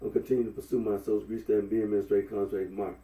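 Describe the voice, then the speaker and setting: A young man from with a head cold, talking through a face mask in a rambling, halting monologue full of fillers. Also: fairly low pitch, flat delivery, mellow. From Memphis, Tennessee. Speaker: vlogger. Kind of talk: casual Facebook Live monologue. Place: indoors at home. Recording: echoey room, mic at a distance.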